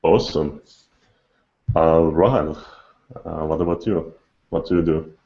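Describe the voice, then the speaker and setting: A man hosting a casual podcast interview over an internet call, speaking haltingly. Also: very deep voice, lo-fi sound. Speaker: podcast host. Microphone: online video call.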